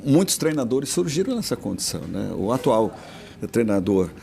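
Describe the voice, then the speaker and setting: A man co-hosting a football talk show, chatting quickly and casually and tagging many of his sentences with little questions. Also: mid-range voice, very animated, gravelly, dark tone. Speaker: sports show co-host.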